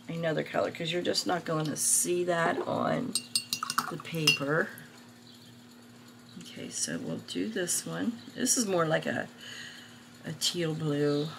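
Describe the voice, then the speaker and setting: Hoarse middle-aged woman host, gravelly raspy voice, unhurried art review, birds chirping in a noisy room. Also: lowish voice. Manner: slow, rambling, many fillers and restarts. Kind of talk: casual art supply review.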